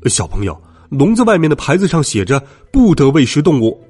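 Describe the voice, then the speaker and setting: A man voicing a gruff, blustering middle-aged zookeeper in a children's storybook narration, impatient but kindly. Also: medium pitch, huge pitch swings, fairly quick, soft background music, voice pushed down. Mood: stern annoyance. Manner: loud, blustering, hesitant grunts.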